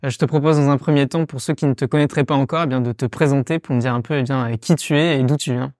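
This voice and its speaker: A man's voice: mid-range voice, steady tone, fast talker, darkish tone, dry recording, clean studio sound. Hesitant man hosting a podcast. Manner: friendly, hesitant, frequent "euh" fillers. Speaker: podcast host. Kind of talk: casual interview hosting.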